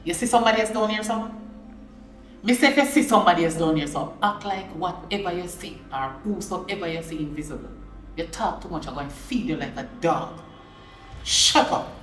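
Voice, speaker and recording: A woman's voice: fairly low pitch, a little fast, faint music behind, natural voice, low-quality recording. A woman with a Jamaican accent playing a jealous, hot-tempered young woman who barks curt orders in patois. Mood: cold. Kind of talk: low-budget patois drama.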